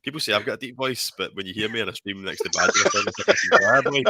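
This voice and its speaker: A man's voice: lowish voice, rapid-fire, very noisy. A man co-hosting a casual movie livestream, joking and teasing the others. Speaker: podcast co-host.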